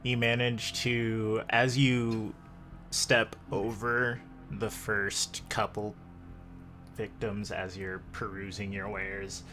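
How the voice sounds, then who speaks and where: A man improvising descriptive narration as game master of a tabletop roleplaying game, pausing often as he goes. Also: lowish voice, level tone, over music. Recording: faint background noise.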